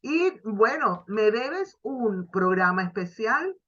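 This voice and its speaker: Bright-voiced woman hosting a radio talk. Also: fairly high pitch, very animated, slow pace. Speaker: radio host. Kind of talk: interview hosting. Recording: dull lo-fi sound.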